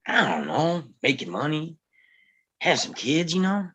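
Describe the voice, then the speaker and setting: An actor playing a guarded, gruff Old West lawman in a table read, speaking tersely and grudgingly with some hesitation. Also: fairly high pitch, big pitch swings, unhurried, sharp tone, full character voice. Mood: exasperated, earnest. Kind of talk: live table read acting of a western screenplay.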